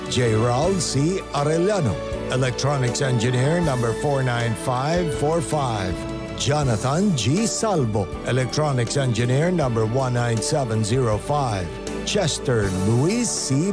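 A man reading a formal scripted station announcement in a measured, carefully enunciated way. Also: mid-range voice. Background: background music.

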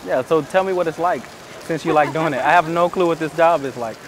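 A man's voice: fairly high pitch, fairly quick, crowd noise. A man from the United States, a dating coach, chatting casually and asking probing questions. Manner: relaxed, probing questions, laughs easily. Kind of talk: casual chat. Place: outdoors in a busy city square. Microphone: far hidden camera. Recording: street noise, distant camera.

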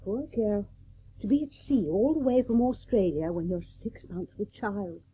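A woman's voice: medium pitch, animated, normal speed, a little hiss or hum, lightly acted. An actress playing a sympathetic, sensible female relative in a radio drama. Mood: concerned. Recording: dull old radio broadcast.

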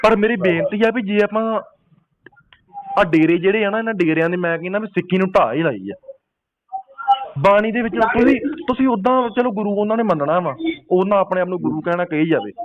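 A dull, lo-fi call recording carries a man. He speaks high and quickly, pressing religious arguments like a preacher and driving them home with insistent rhetorical questions. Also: expressive. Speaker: Sikh preacher taking a supporter's phone call.

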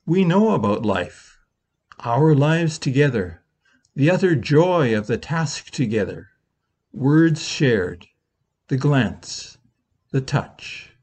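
Slow gravelly older man reading. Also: medium pitch, sing-song, a little mellow. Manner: slow, measured reading with long pauses. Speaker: minister. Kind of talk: slow reflective Easter meditation. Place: living room at home.

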